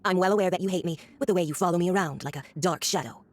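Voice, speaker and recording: A woman's voice: on the low side, very fast, natural voice. A woman voicing a haughty, mocking villainous diplomat in a video game, condescending and theatrical. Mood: mock polite.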